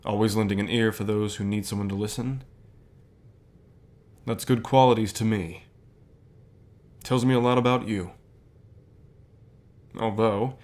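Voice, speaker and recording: A man playing a calm, encouraging company boss in an ASMR roleplay, speaking in a measured, unhurried way with pauses. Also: lowish voice, lightly acted. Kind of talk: ASMR roleplay, softly acted one-sided dialogue.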